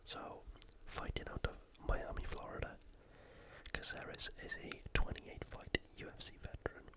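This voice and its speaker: A host whispering an informal, opinionated sports preview in ASMR style, all breath and no voice. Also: normal speed. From United Kingdom.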